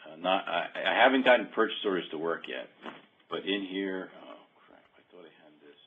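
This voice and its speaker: A man talking informally through a software demo on a remote call, often muttering to himself as he works. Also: deep voice, lively intonation, brisk, slight background noise. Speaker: software demonstrator. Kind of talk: casual screen-share demo talk. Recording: dull narrow-band call audio.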